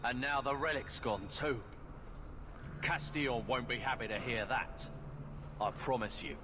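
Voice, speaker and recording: Menacing criminal henchman, played by a male actor, bitter and threatening, video game dialogue with clear background noise. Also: medium pitch, measured pace, long reverb tail, close to an everyday voice. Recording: distant game audio under noisy ambience.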